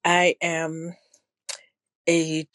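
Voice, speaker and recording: A woman guest in her field of public health, answering interview questions in a measured, thoughtful way with a slight Ghanaian-tinged accent. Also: deep voice, monotone, a bit bright, dry recording, clean studio sound. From New Jersey, family from Ghana. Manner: measured and thoughtful, with occasional restarts.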